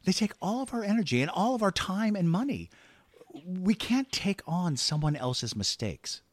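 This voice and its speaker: A defensive, self-righteous husband in an audio drama. His fairly high voice jumps around in pitch as he hedges and tries to appease. The sound is close and dry. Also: big pitch swings, moderate pace, no echo. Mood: defensive, indignant. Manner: defensive, hedging. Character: flustered, self-righteous husband dodging responsibility, eager to appease. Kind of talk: audio play acting.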